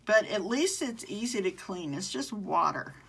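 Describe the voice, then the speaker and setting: A woman's voice: mid-range voice, expressive, a little slow, creaky, fairly bright tone, slightly rough. A woman with a Southern American accent, chatting with viewers during a live craft tutorial and answering their comments as she works. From southern United States. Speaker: craft demonstrator.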